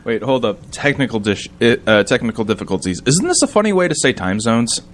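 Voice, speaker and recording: A man's voice: medium pitch, very animated, a little fast, slight background noise. A man co-hosting a radio show, joking in casual comic banter with a mock-grand streak. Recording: music behind the talk. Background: music.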